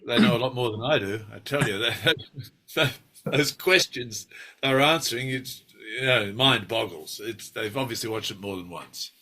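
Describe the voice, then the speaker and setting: A man in his sixties with an Australian accent, an actor telling stories about his life in a relaxed, wry interview, with plenty of fillers and restarts. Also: mid-range voice, moderate pace. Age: 60s. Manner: wry, self-deprecating, with many fillers and restarts. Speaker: actor guest.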